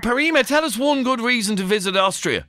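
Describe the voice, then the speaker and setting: A man's voice: very high-pitched, expressive, a little fast, sharp tone, soft background music. A man hosting a radio phone-in, wry and bantering with his co-host and caller. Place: radio studio.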